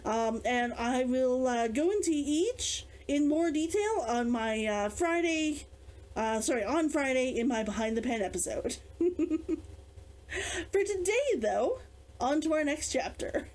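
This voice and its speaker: A woman author hosting a read-along, chatting in an excitable, giggly way. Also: sits high, a little slow. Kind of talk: chatty intro and story reading.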